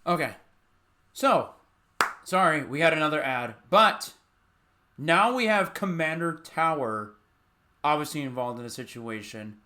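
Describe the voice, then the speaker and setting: A man's voice: fairly high pitch, expressive, unhurried, long pauses, sharp tone. A man hosting a reaction video, giving casual commentary.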